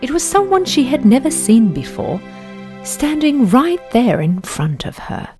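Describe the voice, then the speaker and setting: A woman reading a children's fairy tale in an expressive, measured storybook manner. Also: sits high, music in the background.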